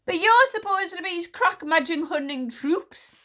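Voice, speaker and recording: A woman's voice: extremely high pitch, lively intonation, normal speed, bright, forceful tone, pitched above the performer's own voice, exaggerated voice. A woman voicing a stern, proud warrior queen who speaks in an icy, grim, clipped way. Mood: commanding. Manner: cold, clipped, commanding.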